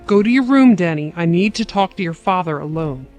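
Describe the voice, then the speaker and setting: A woman's voice: on the low side, animated, brisk, darkish tone, faint music behind, lightly acted. An actress playing a stern, coldly resolute wife and mother in an audio drama, firm and commanding. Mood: sharp.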